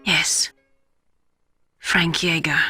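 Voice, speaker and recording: A woman acting a guilt-laden, vengeful scientist in a video game, speaking in a measured, grave, deliberate way. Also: low voice, fairly even intonation, breathy edge, exaggerated voice. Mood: sorrowful. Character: guilt-laden, vengeful female scientist confessing her past. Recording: loud music behind the voice. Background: loud dramatic game score.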